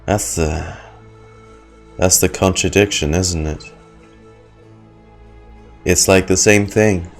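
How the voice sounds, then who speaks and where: A man's voice: low voice, fairly even intonation, slow, vocal fry, long pauses, soft background music. A man giving casual reaction commentary as a game streamer.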